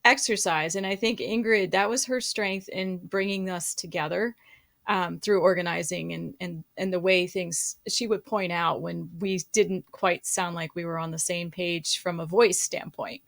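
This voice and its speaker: A woman, a co-author on a podcast, speaking in a measured way with frequent fillers. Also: lowish voice, fairly even intonation, creaky, fairly bright tone. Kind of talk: casual podcast chat.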